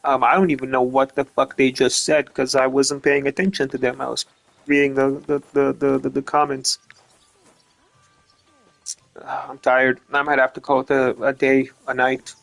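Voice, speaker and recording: A streamer talks through his gameplay in a flat, even voice. He rambles, restarts his sentences over and over, and swears without a second thought. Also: mid-range voice, normal speed, vocal fry, rough recording. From Romania. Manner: rambling, stumbles over repeated words, swears casually. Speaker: gaming streamer. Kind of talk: casual gameplay commentary.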